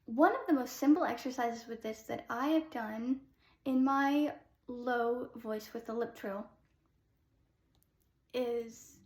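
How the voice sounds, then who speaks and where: A young woman who sings soprano, teaching a casual singing lesson. She explains with short sung demos and fills pauses with "um.". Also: a little high, echoey room. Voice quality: soprano speaking voice.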